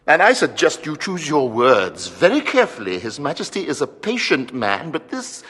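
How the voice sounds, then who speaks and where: A menacing male courtier in a radio drama, formal and threatening. His rough, forceful voice swings expressively and rings through a long hall reverb. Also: on the high side, wildly swooping pitch, normal speed, heavily acted. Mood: menacing, indignant. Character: stern, menacing male court official of the Sultan. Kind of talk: audio drama acting.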